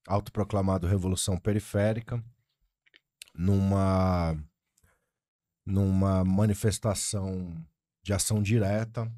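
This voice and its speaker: A man from Brazil, a podcast host asking his guest measured, formal questions. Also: low voice, dark tone, no room sound, studio quality. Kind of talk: interview questions.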